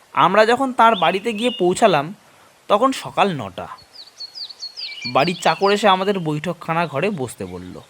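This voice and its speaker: A man's voice: sits high, background noise. A man narrating a scripted horror story in the first person, in a dramatic storytelling style.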